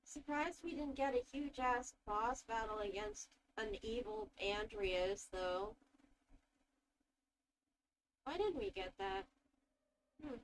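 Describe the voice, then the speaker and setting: High-voiced woman streamer, unhurried and gushing. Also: expressive, a little hiss or hum, rough recording. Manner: gushing, reactive. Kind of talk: casual game playthrough commentary.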